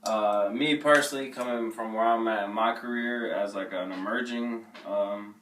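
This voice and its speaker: A man's voice: fairly low pitch, steady tone, normal speed, fairly bright tone. A man from the Maryland suburbs, an emerging pop artist being interviewed, rambling casually with many restarts and fillers. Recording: echoey room, mic at a distance, faint noise.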